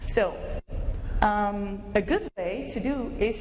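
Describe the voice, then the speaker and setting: A reverberant hall carries the voice of a woman with a Dutch accent. She speaks at a middling pitch as a conference presenter, explaining things in simple terms with everyday examples. A faint layer of noise sits behind her. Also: slow pace, a little mellow. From Netherlands. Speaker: clinical neuropsychologist giving a presentation. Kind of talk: conference talk explaining relaxation techniques.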